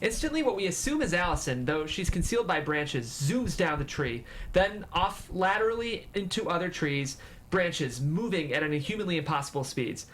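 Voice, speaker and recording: A man's voice: fairly high pitch, lively intonation, moderate pace, fairly bright tone, a little hiss or hum, low-quality recording. A man reading stage directions as the narrator of a script at a table read.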